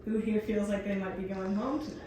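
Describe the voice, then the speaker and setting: A woman's voice: mid-range voice, fairly even intonation, fast. A young woman hosting a student reality competition, asking playful, teasing questions. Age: young adult. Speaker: host. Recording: echoey room, distant mic, music behind.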